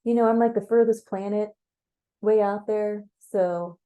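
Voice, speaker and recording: A woman's voice: medium pitch, fairly even intonation, unhurried, mellow, close to an everyday voice. A woman voicing a small male planet spirit in a story, affable, casual and a little rambling. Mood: cheerful, easygoing.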